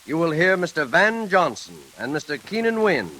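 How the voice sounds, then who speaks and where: A man announcing a 1940s radio show from a script, with dramatic, deliberate pauses. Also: fairly high pitch, big pitch swings, heavy background noise. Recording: old radio recording.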